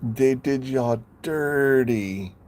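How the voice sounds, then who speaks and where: A man's voice: medium pitch, very slow, a little mellow. A man chatting casually on a live stream, playful, laughing often and stumbling over names.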